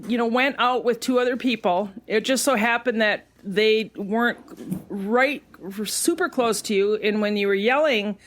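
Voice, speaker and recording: A woman with a fairly high voice hosts a relaxed podcast interview. She puts her questions in a hesitant, halting way, full of fillers. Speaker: podcast host. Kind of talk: casual interview.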